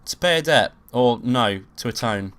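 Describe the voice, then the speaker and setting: A man with a nasal cold reading the lines of a calm, guarded young female detective in his own plain voice. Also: medium pitch, moderate pace, slight background noise, lightly acted. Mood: subdued. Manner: read flatly in his own voice. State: sick and nasal. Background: game music.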